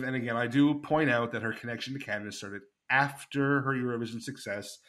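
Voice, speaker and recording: A man's voice: mid-range voice, a bit bright. A man hosting a casual podcast chat, telling a story with lots of fillers and enthusiasm. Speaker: podcast host.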